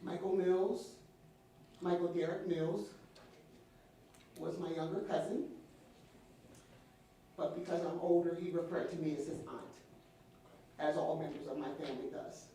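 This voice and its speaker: Female witness, precise formal testimony, mellow tone, very roomy courtroom sound. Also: fairly low pitch, steady tone, unhurried, pauses often. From Wilmington, Delaware, USA. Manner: careful, precise, formal phrasing. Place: large indoor courtroom. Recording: distant echoey courtroom, faint noise.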